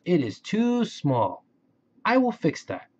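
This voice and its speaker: Guy doing a cheery storybook cat, kinda husky, really bouncy up and down pitch. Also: wildly swooping pitch, slow pace, dull audio, heavily acted. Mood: eager, friendly. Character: cheerful, friendly cartoon cat who builds treehouses.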